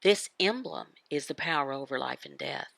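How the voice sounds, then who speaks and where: A woman giving a devotional Bible teaching in emphatic, halting phrases, asking questions and answering them. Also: low voice, expressive, creaky, a bit bright, dry recording. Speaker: voice interpreter for a signed devotional. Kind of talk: devotional Bible teaching, voiced from sign language.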